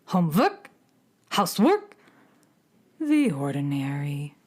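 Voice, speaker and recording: Beatnik cat-girl, children's radio play, slow husky delivery, swooping intonation, melodramatic artistic airs. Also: sits low, huge pitch swings, darkish tone, heavily acted. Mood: weary, resigned. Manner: theatrical, world-weary, grandiose pronouncements. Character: melodramatic beatnik cat-girl from the Moon, self-styled creative soul.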